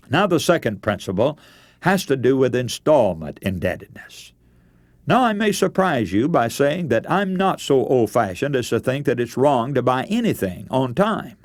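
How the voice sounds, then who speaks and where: A man's voice: medium pitch, a little mellow. Guy doing a radio sermon, earnest and teacherly, voice moves up and down a lot, no room echo. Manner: earnest, instructive, addresses listeners as friends. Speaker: radio preacher. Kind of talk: radio sermon with practical family advice.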